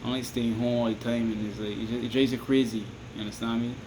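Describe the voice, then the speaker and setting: A man with a Barbadian accent talks loosely and at a middling pitch, circling back on himself and checking in with the listener. A steady hiss and air-conditioner hum sit under his voice. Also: quick pace. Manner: rambling, repeats "you understand me?", restarts often. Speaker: vlogger giving advice. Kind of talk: casual advice monologue to camera.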